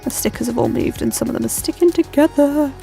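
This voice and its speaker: A woman's voice: high-pitched, fast talker, gravelly, dark tone, soft background music. A young woman with a stuffy cold, chatting and rambling with lots of ums as she decorates her planner. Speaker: planner vlogger. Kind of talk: chatty plan-with-me narration.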